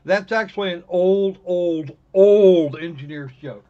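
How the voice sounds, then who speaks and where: A man hosting a live game stream in casual chat, often halting and restarting his phrases. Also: high voice, warm tone, highs cut off.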